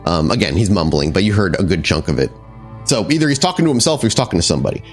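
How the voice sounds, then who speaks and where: A man's voice: sits low, big pitch swings, racing. A man running a tabletop horror game, narrating descriptively and searching for words as he improvises. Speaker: game master. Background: background music.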